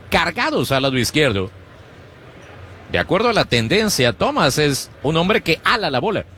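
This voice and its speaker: A man calls a baseball game on the radio, pitch by pitch, with a lively voice that climbs and drops as the action moves. His voice sits a little high, and a faint hum of noise runs behind him. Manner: animated, rhythmic pitch-by-pitch calling. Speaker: play-by-play announcer. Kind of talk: Spanish-language baseball radio commentary.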